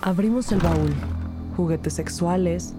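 A woman's voice: on the low side, takes it slowly, music in the background. An actress playing an observant investigator, narrating her case in the first person in a wry, matter-of-fact way. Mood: intrigued.

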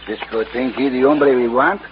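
A man acting as a simple-hearted comic sidekick in a radio western. He speaks eager broken English with a Mexican accent in a medium pitch, on a muffled old recording with slight noise. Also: normal speed, close to an everyday voice. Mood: curious, blunt. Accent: Mexican Spanish. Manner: broken English, echoes his partner.

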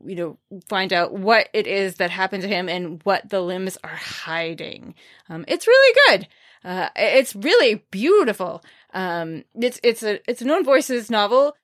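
A woman's voice: mid-range voice, a bit bright. A woman hosting a podcast, chatting casually and informally through book recommendations with wry, understated asides. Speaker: podcast host reviewing books.

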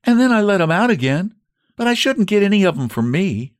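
A man's voice: sits high, big pitch swings, fairly quick, dry recording, clean studio sound, voice pushed up. A man voicing a shy, humble young housemaid who talks in homely, ungrammatical speech. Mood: wistful. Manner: homely rural grammar, earnest and simple.

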